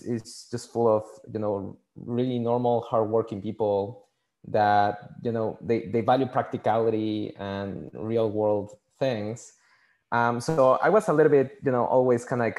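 Male podcast guest, mid-pitched, Mexican accent, native Spanish speaker; casual, filler-heavy, halting answers. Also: darkish tone. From Mexico City, Mexico. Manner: halting, repeats words, many "you know" fillers. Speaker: podcast guest, scientist and engineer. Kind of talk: casual conversational interview answers.

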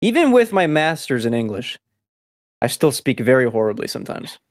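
A man who grew up speaking Spanish first, hosting a casual podcast and rattling off news items in quick succession. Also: mid-range voice, very animated, dry recording, clean studio sound. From United States. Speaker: podcast host.

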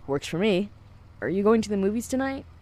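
A woman's voice: medium pitch, sing-song, a little fast, a little mellow, close to an everyday voice. A woman playing a friendly, easygoing teenage boy in an audio drama, casual and supportive. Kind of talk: naturalistic audio drama acting.

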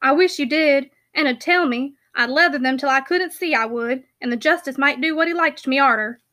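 Lady doing a good-hearted chatty peddler guy from an old novel, earnest, bright high voice. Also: brisk. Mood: fiercely loyal. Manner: folksy, earnest, rambling. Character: plainspoken rustic packman, man, good-hearted, loyal, talkative. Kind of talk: audiobook character voice.

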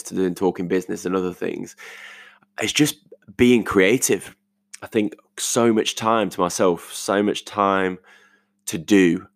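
A young British man with a croaky voice recording a casual, reflective voice note at home, rambling informally as he thinks aloud. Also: deep voice, no echo. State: croaky voice today. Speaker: podcast host. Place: multi-purpose living room at home.

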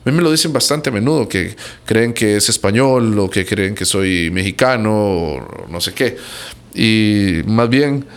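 A man's voice: on the low side, animated, unhurried. A man from Costa Rica with a Costa Rican accent, a musician giving casual, conversational answers in an interview.

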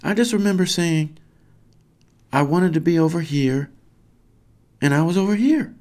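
Male narrator as young storybook boy, high pitched, loud, excitable and baffled. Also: a little slow. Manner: calling out loudly.

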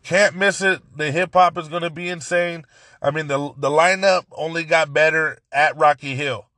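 A man hosts a casual podcast in a hoarse, raspy voice that sits fairly high. He talks excitedly and rambles, restarting his sentences again and again. The sound is dry and close, with no room around it. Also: moderate pace, dry recording. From Central California. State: hoarse from yelling. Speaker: podcast host. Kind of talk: casual solo podcast talk.

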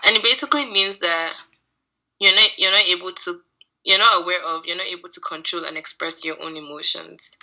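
A woman co-hosts a casual recap podcast. Her voice sits on the low side, husky yet strikingly bright and forward. She sounds a bit tired and sleepy as she chats. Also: brisk, low-quality recording. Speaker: podcast co-host. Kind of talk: casual chat recapping TV show.

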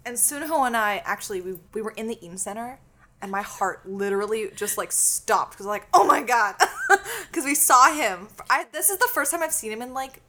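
A woman's voice: on the high side, lively intonation, moderate pace, bright, forceful tone, a little hiss or hum. A woman in her twenties, co-hosting a podcast and telling stories in a chatty, giggly way. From Canada. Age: 20s. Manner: giggly, chatty storyteller with frequent laughs. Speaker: podcast co-host. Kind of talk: casual chat.

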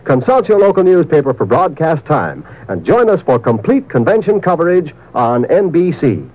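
A man's voice: fairly high pitch, very animated, normal speed, a little mellow. A man reading a scripted promo as a network announcer on 1950s radio. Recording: dull old radio recording.

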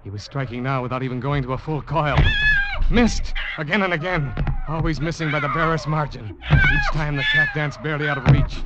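A man's voice: on the high side, very noisy. An actor playing a guilt-ridden young seaman who narrates his ordeal in tense, dramatic old-time radio style. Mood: frantic, excited. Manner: tense, dramatic, deliberate storytelling. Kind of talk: 1940s-50s radio drama acting, suspense narration. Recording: muffled old radio broadcast, loud music behind.

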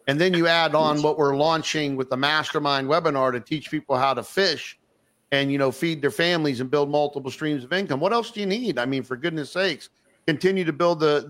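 Guy hosting a talk show, kinda high voice, rambly and eager, lots of uh's. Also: a bit bright. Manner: enthusiastic, rambling with many fillers and restarts. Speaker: podcast host. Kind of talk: casual online show chat.

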